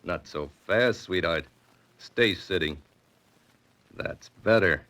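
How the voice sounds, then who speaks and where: An actor playing a cool, menacing gunman in a 1940s radio crime drama, curt, unhurried and mocking. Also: low voice, a bit bright. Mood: mocking menace. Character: cool, menacing male gunman giving orders.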